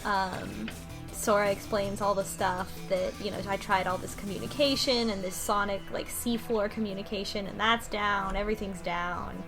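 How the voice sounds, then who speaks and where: A woman's voice: mid-range voice, fairly even intonation, normal speed, over music. A woman co-hosting a podcast, casually recapping a game's story and acting out bits of dialogue in an informal way. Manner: informal, quotes characters in dialogue. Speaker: podcast co-host.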